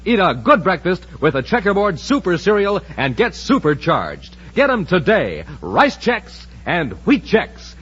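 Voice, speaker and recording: A man announcing in 1950s radio serial style, theatrical and punchy, with an excited salesman's delivery. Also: fairly high pitch, breathy edge. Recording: dull, hissy vintage radio recording.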